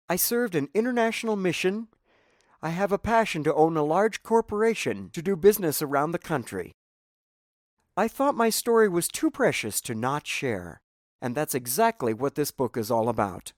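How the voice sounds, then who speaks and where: A man's voice: on the high side, no echo, studio quality. A man from Cambodia with a Cambodian accent, reading his own inspirational memoir as scripted narration in an earnest, preaching manner.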